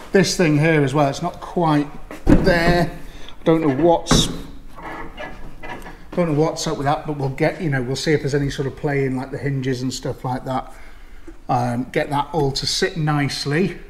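A man's voice: mid-range voice, reverberant, noisy background. A man chatting casually and joking as he checks over an old car in his garage workshop. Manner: chatty, jokey, thinking aloud. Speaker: car restoration vlogger.

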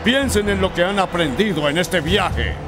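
A competitive cartoon football character. He is high-pitched, intense and emphatic, his tone jumping around over loud music. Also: animated, normal speed. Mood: stern. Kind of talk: animated sports cartoon dialogue.

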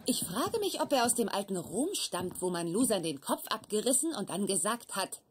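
A woman's voice: medium pitch, very animated, moderate pace, a little hiss or hum, lightly acted. An actress playing a teasing, upbeat young woman in a dubbed sitcom, with a casual, mocking manner.

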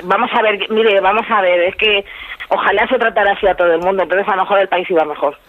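A woman's voice: medium pitch, steady tone, quick pace. Woman from spain on the phone, spanish accent, defensive, muffled lo-fi line, no echo. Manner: defensive, explaining herself. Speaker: prank call victim. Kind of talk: spontaneous phone conversation. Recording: dull telephone line.

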